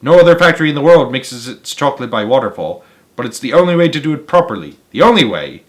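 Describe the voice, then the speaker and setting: A man's voice: medium pitch, sing-song, fast talker, close to an everyday voice. Eccentric chocolate factory boss for a storybook, played by a male reader: boastful, gushing, exclamatory. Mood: gushing delight. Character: excitable, boastful chocolate factory owner, eccentric grown man. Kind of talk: storybook character voice.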